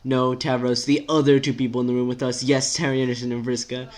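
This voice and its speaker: A man's voice: mid-range voice, flat delivery, fast, natural voice. A man voicing a vain, irritable, sarcastic teenage girl with a biting, emphatic delivery. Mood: bitter sarcasm.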